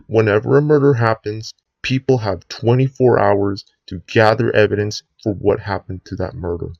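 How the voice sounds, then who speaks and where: A man playing a sinister US president who gives a grave, deliberate address to the nation in an emergency broadcast drama. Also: on the low side, steady tone, vocal fry, darkish tone, dry recording, highs cut off. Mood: grave, menacing. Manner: grave, deliberate speechmaking with drawn-out ominous phrases.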